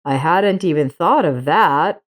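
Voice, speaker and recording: A woman voicing a gentle, reassuring father in a narrated story, speaking in a soothing way. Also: deep voice, lively intonation, measured pace. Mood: thoughtful.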